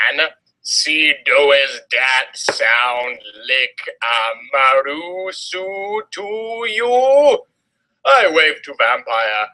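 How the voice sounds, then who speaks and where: Male dramatic reader, theatrical and comedic, bright tone, slow deliberate delivery. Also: medium pitch, rough recording. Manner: theatrical, exaggerated emphasis. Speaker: reader of fan fiction. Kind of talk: dramatic comedic story reading.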